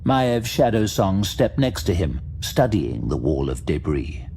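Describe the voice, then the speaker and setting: A man's voice: low-pitched, very animated, a little slow, creaky, dark tone, a little hiss or hum. A man narrating a scripted fantasy audio drama in a dramatic storytelling style.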